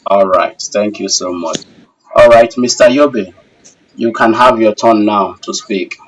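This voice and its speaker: A man's voice: on the low side, measured pace, distant mic, slight background noise, poor audio. A man instructing a live online class and taking questions, courteous and formal, repeating phrases for emphasis. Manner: courteous, addresses learners formally as sir. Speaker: instructor of an online technical course.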